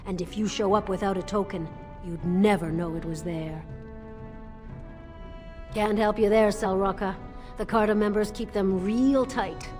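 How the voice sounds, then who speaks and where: A woman's voice: medium pitch, steady tone, a little slow, long pauses, darkish tone, close to an everyday voice. An actress playing a streetwise old beggar woman in a fantasy game, clipped, folksy and wheedling, in a reverberant space. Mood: wary, matter-of-fact. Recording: reverberant space, faint music.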